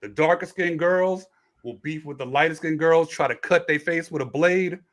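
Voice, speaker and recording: A man's voice: a little high, normal speed. A man hosting a casual late-night panel discussion, explaining at length and restarting his sentences. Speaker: host.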